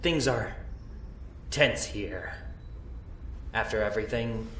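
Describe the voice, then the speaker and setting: An actor as an anxious, guarded young man who speaks slowly and defensively. He sounds distant in an echoey room, in a fan drama. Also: lowish voice, fairly bright tone. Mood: uneasy. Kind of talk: anime fan audio drama acting. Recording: echoey, distant, faint noise.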